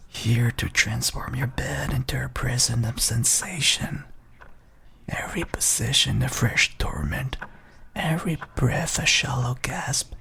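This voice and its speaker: A man playing a sinister, possessive entity that taunts its sleepless victim in a dark horror audio roleplay, with a gravelly, breathy whisper and a menacing, intimate manner. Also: a little high, exaggerated voice. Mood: triumphant, possessive.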